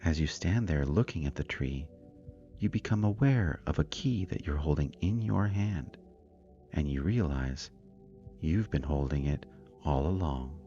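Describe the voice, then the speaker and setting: Deep-voiced man guiding a slow meditation. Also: highs cut off. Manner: calm, soothing, deliberate phrasing. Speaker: guided meditation narrator. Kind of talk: scripted guided meditation narration. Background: meditation music with singing bowls.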